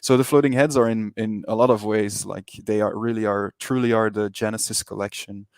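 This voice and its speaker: A Belgian man whose first language is Dutch, speaking English with that accent in a low, gravelly voice. He takes his time as a guest in a casual interview, halting and repeating words, captured close and dry. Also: fairly even intonation, a little mellow, no echo. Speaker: interview guest, digital artist.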